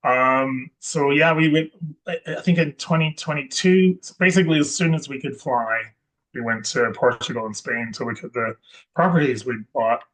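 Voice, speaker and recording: Guy being interviewed, talks in loops, lots of ums and restarts, mid pitch. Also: moderate pace, a bit bright, rough recording. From Scotland. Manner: rambling, self-correcting with many ums and restarts. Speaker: guest investor. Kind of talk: casual interview chat.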